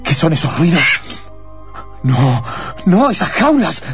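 A man's voice: a little high, sing-song, slow pace, over music, exaggerated voice. A man voicing a nervous, obsessive researcher in a radio drama, agitated and stammering, with an Argentine accent. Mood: alarmed disbelief. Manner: agitated, stammering, talking to himself.

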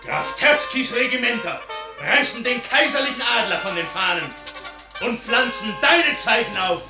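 A man's voice: very high-pitched, expressive, moderate pace. A man acting a stern subordinate officer giving urgent, declamatory warnings in a 1950s radio drama. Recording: echoey old radio recording, music behind.

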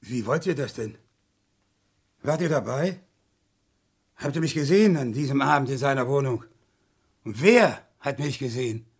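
An actor playing a sly, indignant old murder suspect in a German radio crime drama, agitated and bitter, with a sharp, creaky voice. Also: male voice, medium pitch, moderate pace, long pauses. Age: older. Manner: agitated, spitting, dropping to bitter hisses.

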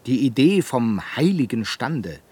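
An actor in a German radio play playing a fiery, eloquent Italian humanist pedagogue who declaims with indignant rhetoric. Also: male voice, mid-range voice, very animated, a little slow, a little mellow, breathy edge. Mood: lecturing.

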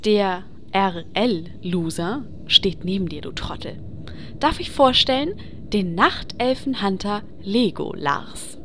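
A woman's voice: mid-range voice, expressive, slow pace, noisy background. A woman acting a chatty, vain, organizing adventurer in a comedic fantasy game audio drama. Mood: breezy, assertive.

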